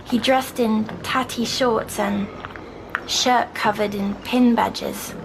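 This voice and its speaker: A woman playing a timid, dreamy young girl patient who recounts her nightmares in a hushed audio drama. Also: fairly high pitch, fairly even intonation, takes it slowly, vocal fry, background noise. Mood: hushed wonder.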